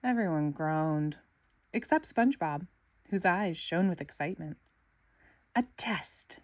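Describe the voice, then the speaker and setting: A woman narrating a children's picture book aloud with expressive, dramatic storytelling. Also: lowish voice, normal speed, dry recording. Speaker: storybook reader.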